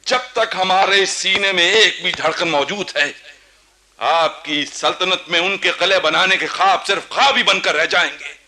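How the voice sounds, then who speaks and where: An actor playing a proud, impassioned young Indian ruler in a theatrical Hindi radio play, with a grand, deliberate, declamatory delivery. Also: male voice, high voice, sharp tone, full character voice. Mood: defiant.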